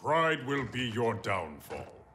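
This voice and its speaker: A man's voice: mid-range voice, sing-song, slow. A man playing a proud warrior emperor in a video game, speaking in a formal, stately, commanding way. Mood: stern. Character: proud, regal male warrior emperor of an otherworld realm. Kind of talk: dramatic video game cutscene acting. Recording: music behind the voice.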